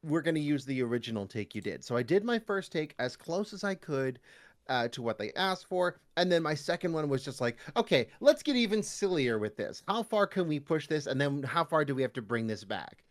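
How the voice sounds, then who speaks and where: Animated man chatting casually. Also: a little high, fairly quick. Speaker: guest voice actor. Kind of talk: casual podcast interview chat.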